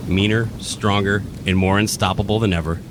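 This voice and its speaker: A man's voice: lowish voice, fairly even intonation, moderate pace, noisy background. A man narrating a scripted horror radio play with dramatic storytelling delivery. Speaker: narrator and podcast host.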